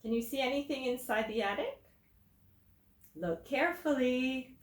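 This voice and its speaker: A woman teacher reading a picture book aloud to children, explaining simply and deliberately and putting questions to her listeners. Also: high voice, animated. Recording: roomy echo, distant microphone.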